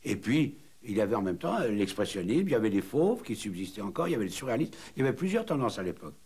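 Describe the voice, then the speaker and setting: Mature male interviewee, low husky voice, explanatory and didactic, enumerating with falling phrase endings. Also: big pitch swings, rapid-fire, darkish tone. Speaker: painter's son interviewed in a documentary.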